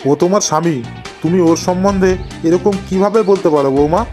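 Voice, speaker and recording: Man acting a measured, higher-pitched village elder. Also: a little mellow, soft background music, lightly acted. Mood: calm, concerned. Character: older village father and sage-like holy man, calm, advising. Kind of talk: Bengali cartoon moral-tale character acting.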